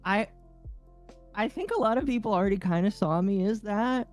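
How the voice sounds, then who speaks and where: A woman's voice: medium pitch, normal speed, darkish tone. A woman chatting casually as a podcast co-host, with a teasing, mock-serious manner. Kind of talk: casual chat. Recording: background music under voices. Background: background music.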